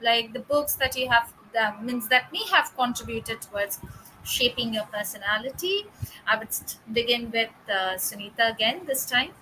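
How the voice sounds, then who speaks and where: This is a woman moderating a panel discussion. She has a bright, crisp, fairly high voice. She puts long, formal questions to each guest and hands over the floor in turn. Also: normal speed, a little hiss or hum, low-quality recording.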